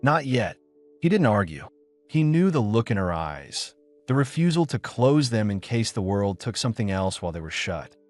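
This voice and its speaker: A man's voice: medium pitch, a little mellow, dry recording. A man narrating a scripted story as an audiobook, with music behind him.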